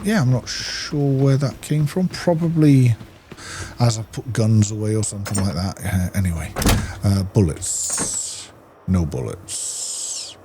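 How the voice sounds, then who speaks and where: A man's voice: on the low side, a little hiss or hum. A man giving casual gameplay commentary, thinking aloud and muttering to himself as a video host. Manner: chatty, muttering to himself while playing. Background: loud music and game sound.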